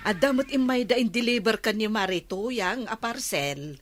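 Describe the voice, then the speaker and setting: A woman's voice: fairly high pitch, animated, moderate pace, soft background music. A woman with an Ilocano accent playing a homely, chatty village woman in a radio comedy drama.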